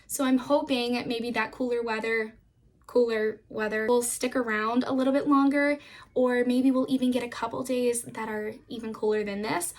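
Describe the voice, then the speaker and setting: A young woman vlogging casually at home, her voice a little raspy and froggy, rambling and relaxed with lots of "like.". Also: on the high side, normal speed. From Florida. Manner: relaxed, rambling, uses "like" often. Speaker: vlogger, stay-at-home mom. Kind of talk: casual chatty vlog narration.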